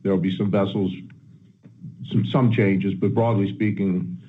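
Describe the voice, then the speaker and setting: A man speaking as a company executive on an earnings call, answering in a measured, guarded, halting way. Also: deep voice, fairly even intonation. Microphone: telephone conference line. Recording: narrow, tinny conference phone line.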